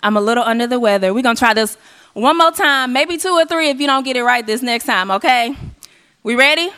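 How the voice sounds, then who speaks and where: A hoarse woman from Arkansas, hosting a live event on a stage mic and rallying the audience with call and response. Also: fairly high pitch, brisk. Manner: energetic, rallying the crowd. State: hoarse, losing her voice. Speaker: event emcee. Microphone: handheld stage mic. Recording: live hall with faint audience.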